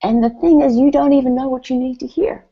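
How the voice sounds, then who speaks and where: A woman's voice: high-pitched, brisk, highs cut off. A woman from New Zealand with a New Zealand accent gives a motivational speech at a company event, telling stories and acting out what others said. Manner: animated storytelling with quoted voices.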